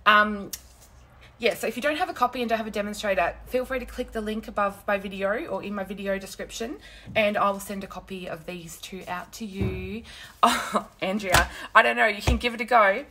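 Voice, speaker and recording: A young woman with a croaky, sore-throated voice, chatting casually with viewers while she hosts a crafting live stream from her desk at home, laughing often and greeting commenters by name. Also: medium pitch, fairly even intonation, fairly quick, bright, forceful tone, a little hiss or hum. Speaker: paper-craft demonstrator hosting a live stream. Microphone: phone camera.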